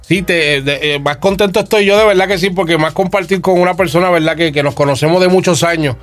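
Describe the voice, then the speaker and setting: Man, radio host, somewhat high voice, faint music behind. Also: fast. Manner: friendly, coaxing questions.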